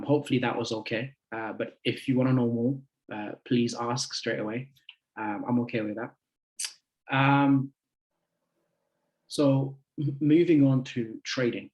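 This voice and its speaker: A man in his twenties giving a friendly, chatty talk to a group as a guest speaker, halting often with fillers. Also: mid-range voice, dull audio. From United Kingdom. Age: 20s. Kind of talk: casual talk to an online group.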